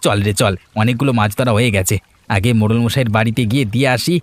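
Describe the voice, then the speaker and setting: A man voicing a fast-talking cartoon villager. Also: mid-range voice, soft background music, close to an everyday voice. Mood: eager. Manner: chatty, eager, quick. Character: friendly village man, eager and helpful. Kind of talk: cartoon voice acting.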